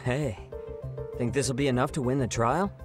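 A casual young sidekick, acted by a man in a video game. His breezy voice has a middling pitch, with music clearly behind it. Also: moderate pace, natural voice. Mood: upbeat. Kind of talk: video game cutscene dialogue.

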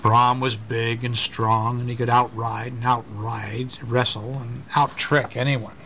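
Guy reading a bedtime story to his kid, expressive, stops to explain stuff, medium pitch, bit of noise on the recording. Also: a little slow. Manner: expressive, breaking off to explain and ask the child questions. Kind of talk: storytelling read aloud to a small child, with asides. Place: at home, reading to a child. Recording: noisy amateur home recording.

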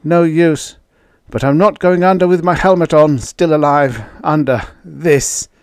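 Man acting a strained, high-pitched frightened space explorer. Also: moderate pace, darkish tone, dry recording, studio quality, close to an everyday voice. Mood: desperate, resigned. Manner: strained, panting, emphatic. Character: male Mars explorer, frightened, weary and queasy.

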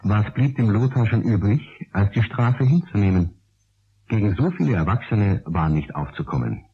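A man's voice: lowish voice, expressive, normal speed, husky. A man, narrating a radio play as storyteller. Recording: dull old radio play recording.

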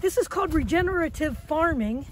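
A woman's voice: high voice, animated, a little fast. A middle-aged woman hosting an on-site farm interview, chatty and prompting, speaking straight to the audience. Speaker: extension host interviewer. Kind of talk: on-site interview and narration to viewers. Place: outdoors on a farm.

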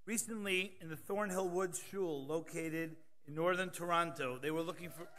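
A man's voice: fairly high pitch, fairly even intonation, moderate pace, sharp tone. A man in his thirties emceeing a conference, reading introductions from notes with joking asides at a podium microphone in a large hall. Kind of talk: scripted introductions with light jokes.

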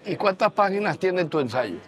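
A man's voice: high voice, big pitch swings, normal speed, crowd noise. An older man from Venezuela hosting a casual interview at a dining table, echoing his guests' answers back. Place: bright room at a dining table. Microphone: lapel mic.

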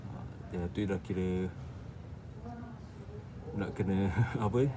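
A Malaysian man with a fairly low voice talks through technical points in a casual way. He hesitates often and searches for words as he explains. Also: fairly even intonation, takes it slowly, noisy background. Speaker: audio specialist. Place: small cluttered office, indoors.

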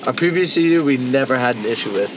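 A man's voice: medium pitch, expressive, fast talker, a little hiss or hum, low-quality recording. A young man bantering casually on camera inside a small camper van, laid-back and joking, with music underneath. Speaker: van life vlogger. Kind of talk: casual vlog chat. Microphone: handheld action camera at arm's length.

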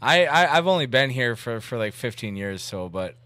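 A man in his thirties hosting a comedy podcast, chatting casually in a rambling, halting way with crude humor. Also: medium pitch, big pitch swings, bright, forceful tone, smooth, no room sound. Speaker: comedy podcast host making a prank call. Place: indoor room at a desk.

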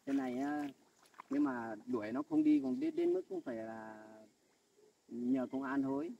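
A man's voice: fairly high pitch, wildly swooping pitch, moderate pace, creaky, dark tone, distant mic, highs cut off, a little hiss or hum, exaggerated voice. A man playing a defensive husband who tries to placate his jealous wife in an amateur family skit.

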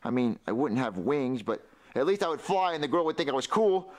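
A man's voice: mid-range voice, sing-song, a little fast, lightly acted. A man voicing a childish, stubborn young turtle boy puppet who whines and schemes in a puppet comedy. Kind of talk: amateur puppet comedy acting.

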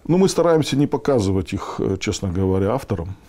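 A man in his fifties giving a casual interview, speaking haltingly as he thinks aloud. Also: on the low side, sing-song, mellow. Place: furnished meeting room indoors.

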